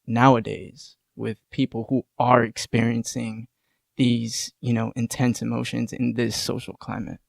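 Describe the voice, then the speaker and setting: Creaky-voiced man interviewing on a podcast. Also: mid-range voice, little pitch movement, unhurried, dry recording, clean studio sound.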